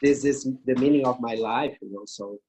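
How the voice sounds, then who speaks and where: A man with a Brazilian accent and Portuguese as his first language, speaking earnestly and haltingly as an interview guest. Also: medium pitch, a little mellow, slight background noise. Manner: earnest, halting non-fluent English. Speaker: podcast guest, grief therapist. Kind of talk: interview, personal storytelling.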